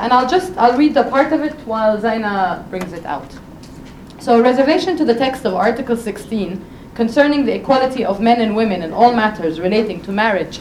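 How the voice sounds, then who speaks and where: A woman with a loud voice speaking on a conference panel, making an emphatic, persuasive case and addressing the audience directly. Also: on the high side, a little hiss or hum, low-quality recording. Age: adult with a doctorate.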